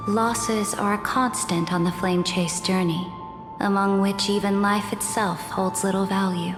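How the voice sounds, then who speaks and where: A cold, resolute empress from a video game, voiced by a woman speaks slowly and imperiously. Her measured words carry long, echoing reverb, with music clearly playing behind. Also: mid-range voice, close to an everyday voice. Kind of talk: video game drama dialogue.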